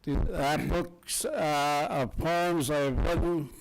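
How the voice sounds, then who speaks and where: A man's voice: fairly high pitch, fairly even intonation, slow pace, background noise. An older man from Ireland with an Irish accent, a guest telling wry stories and reading his poem at a town meeting. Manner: wry, chuckling storyteller. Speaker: guest poet.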